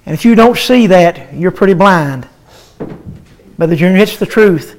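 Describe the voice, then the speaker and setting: An older pastor preaching a sermon in a chanted, sing-song style, breaking into drawn-out cries and calling out to his listeners by name, with many halting filler sounds between phrases. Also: sits high, lively intonation, poor audio.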